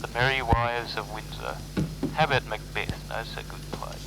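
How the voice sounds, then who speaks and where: A man's voice: medium pitch, fairly even intonation, normal speed, heavy background noise. A man chatting casually as an amateur radio operator in a dry, wry manner, on a tinny microphone.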